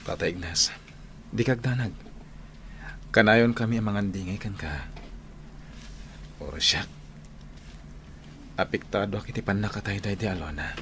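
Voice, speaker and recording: An actor with an Ilocano accent playing a scheming, vengeful gang boss in a radio drama, in a menacing, dramatic way. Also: male voice, fairly low pitch, measured pace, long pauses, a bit bright. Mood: concerned. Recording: very noisy AM radio, vehicle hum.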